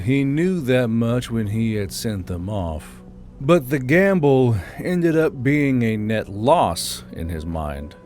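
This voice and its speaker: A man's voice: medium pitch, darkish tone. A man reading scripted fiction as an audiobook narrator, with some background noise behind him.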